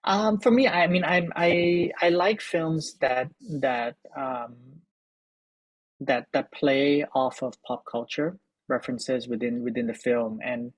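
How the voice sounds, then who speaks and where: A middle-aged man from Thailand, a film editor and director, answering interview questions in a halting way with many repeated words and fillers. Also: medium pitch, slightly rough, dry recording, poor audio.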